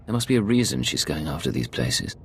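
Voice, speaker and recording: A man's voice: rumbling low, big pitch swings, a little fast, breathy edge, full character voice. A male actor playing a curt, menacing imperial secret agent in a video game, with a cool, clipped delivery. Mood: cool, probing. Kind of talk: scripted game cutscene dialogue.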